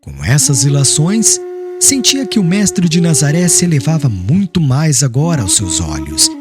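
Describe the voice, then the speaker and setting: Higher-pitched, expressive male audiobook narrator. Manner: solemn, formal, measured phrasing. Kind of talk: dramatic scripted literary narration. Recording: clear music bed under voice.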